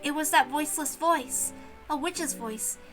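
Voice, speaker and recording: Female story reader, very high and bright, expressive visual novel narration with soft music underneath. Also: unhurried.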